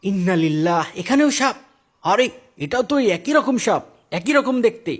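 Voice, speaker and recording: A man playing a high-pitched, anxious village man. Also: expressive, moderate pace. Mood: alarmed. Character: anxious village man at a haunted burial, fearful and pious. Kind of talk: Islamic moral cartoon story acting.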